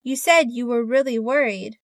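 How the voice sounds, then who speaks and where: Matter-of-fact doctor, voiced by a woman, fairly high voice, dry booth sound. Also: moderate pace, no room sound, close to an everyday voice. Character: calm, no-nonsense doctor. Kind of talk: scripted listening-test dialogue.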